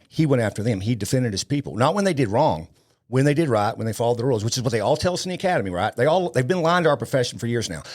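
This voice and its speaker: A man in his fifties talks with conviction as a podcast guest. He is blunt and emphatic, hammering points home by repeating his phrases, at an ordinary male pitch. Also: darkish tone. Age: 50s. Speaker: podcast guest, law enforcement trainer. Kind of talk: impassioned interview talk.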